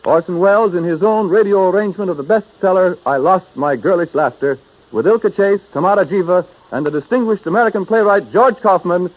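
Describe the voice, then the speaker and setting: A man's voice: high voice, a little fast. A man working as a radio announcer, delivering polished, emphatic advertising copy in 1930s style. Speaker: radio announcer and sponsor's pitchman. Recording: muffled 1930s radio broadcast.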